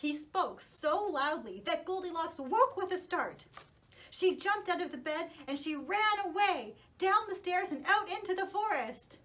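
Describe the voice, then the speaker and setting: A woman with a high, very lively voice tells a children's story. She hosts like a puppet show presenter, animated and reassuring, with wide swoops in her intonation. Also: measured pace, background noise.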